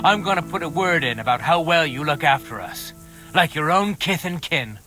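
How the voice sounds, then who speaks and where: A man's voice: fairly high pitch, lively intonation, moderate pace, over music, natural voice. A man playing a grateful, chummy army quartermaster in a medieval video game. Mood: grateful, pleased. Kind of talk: video game dialogue acting.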